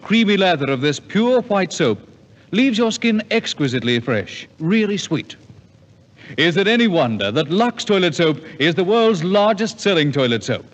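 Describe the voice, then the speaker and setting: Animated male radio ad announcer, reverberant. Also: high-pitched. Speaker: commercial announcer. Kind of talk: 1950s radio advertisement, scripted. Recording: muffled 1950s radio, long reverb.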